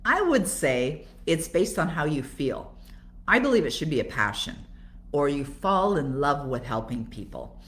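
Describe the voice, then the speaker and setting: A woman's voice: sits low, very animated, unhurried. An older woman with a Canadian accent, speaking conversationally as a coach on a live Q&A and answering her own rhetorical questions as she explains. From Toronto, Canada. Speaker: coach and author hosting a live Q&A.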